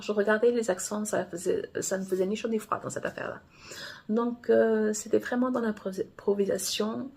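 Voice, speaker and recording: Husky-voiced woman from Angola, native Portuguese speaker. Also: medium pitch, a little mellow. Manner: rambling, often restarts and corrects herself. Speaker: romance author. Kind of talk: casual personal monologue.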